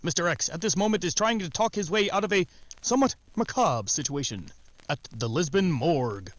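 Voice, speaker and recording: A man's voice: on the high side, expressive, brisk, heavy background noise. A man delivering a scripted, formal and emphatic announcement in the style of 1940s radio.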